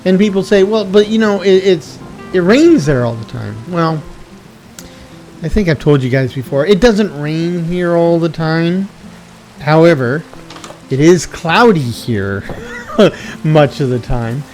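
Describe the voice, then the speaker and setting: A man's voice: a little high, animated, measured pace, darkish tone, rough recording. Middle-aged man rambling with light music behind. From USA. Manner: meandering, self-correcting, reflective. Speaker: video game streamer. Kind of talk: rambling casual chat.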